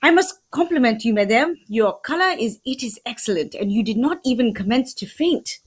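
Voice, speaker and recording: A formal, theatrical Belgian detective played by a woman in an old-time radio mystery. The French accent is courteous and fussy, and the high voice moves through lively rises and falls. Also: brisk, breathy edge. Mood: grave, courteous.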